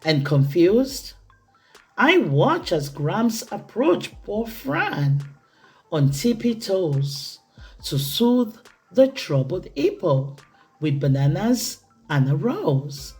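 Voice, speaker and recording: Slow, animated woman reading a storybook. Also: on the low side, soft background music. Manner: theatrical, deliberate phrasing for young children. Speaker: children's storytime reader. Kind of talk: expressive read-aloud picture-book narration.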